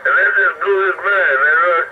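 A man's voice: a little high, normal speed, far from the mic. A man chatting casually and at length over CB radio, rambling and repeating himself, heard through the radio's speaker. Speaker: CB radio operator. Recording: muffled, very noisy CB radio reception. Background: loud radio noise.

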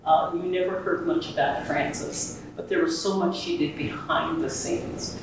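A woman's voice: lowish voice, fairly even intonation, a little slow. A middle-aged woman giving earnest remarks to an audience after a readers theater performance, with many fillers. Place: large indoor museum room. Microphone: far camera. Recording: echoey room from far off, noisy.

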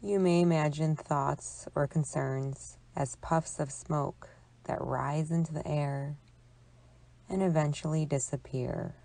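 Woman, guided imagery narration, deep register, unhurried slow pace, calm and soothing, long pauses. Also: vocal fry. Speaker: psychologist leading guided imagery. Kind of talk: guided relaxation meditation narration.

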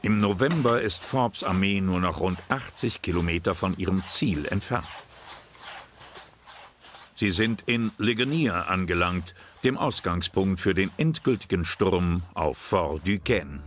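A man giving calm, measured scripted narration for a history documentary. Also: low-pitched, a little hiss or hum. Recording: voice-over with music bed.

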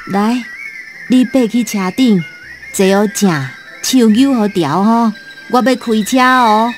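A woman's voice: a little high, takes it slowly, soft background music. A woman playing a feisty elderly farm wife in a radio drama, nagging and earthy but fond, with a Taiwanese accent. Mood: practical.

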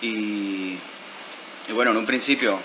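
A man's voice: fairly low pitch, fairly even intonation, a little slow, noisy background. A man, the guest in an interview, speaking thoughtfully with hesitant restarts. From Venezuela. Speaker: guest artist. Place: lounge bar indoors. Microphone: handheld mic.

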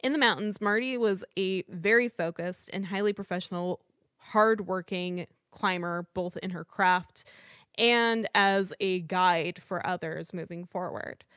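A woman's voice: on the low side, unhurried, a bit bright, clean tone, no room sound, studio quality. A woman hosting a podcast, reading a prepared true crime script and apologizing for how she says foreign names. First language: English. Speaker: podcast host.

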